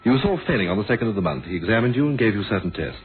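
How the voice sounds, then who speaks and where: A low, expressive male police inspector puts measured, probing questions in an old radio mystery. He is formal and pointed, on a muffled recording with audible noise. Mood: stern, relentless. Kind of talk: 1970s radio mystery drama acting.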